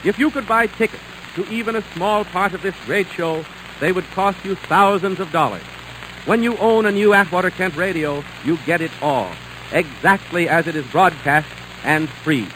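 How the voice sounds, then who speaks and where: 1930s radio ad guy, kinda high voice, smooth pitch, noisy old recording. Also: normal speed, a bit bright. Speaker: commercial announcer.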